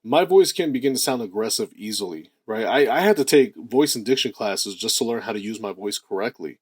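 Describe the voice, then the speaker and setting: A man with a deep voice giving a motivational talk as a self-improvement host, animated, stressing key words and drawing out phrases. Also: brisk, slightly rough, no room sound, clean studio sound.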